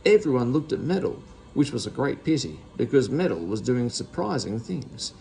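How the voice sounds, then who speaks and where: A man's voice: mid-range voice, big pitch swings, normal speed, creaky, dark tone, soft background music, poor audio. A man reading a children's storybook aloud as narrator. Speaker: story reader.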